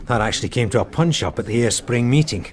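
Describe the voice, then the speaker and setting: An actor playing a blunt, dogged detective sergeant in a radio crime drama, probing and plain-spoken. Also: male voice, mid-range voice, animated, fast talker, natural voice. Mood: wry, gossipy. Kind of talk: naturalistic radio drama dialogue.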